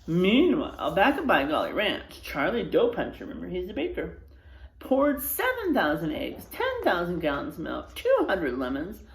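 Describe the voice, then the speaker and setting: A woman reading a children's story aloud expressively, pausing for asides to her young listeners. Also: fairly low pitch, huge pitch swings, vocal fry, echoey room.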